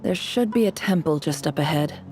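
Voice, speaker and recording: A woman's voice: deep voice, animated, fairly quick. A woman voicing a composed, proud, secretive desert-born crime boss in a video game, knowing and dryly teasing. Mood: cool, knowing. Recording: music playing behind the voice.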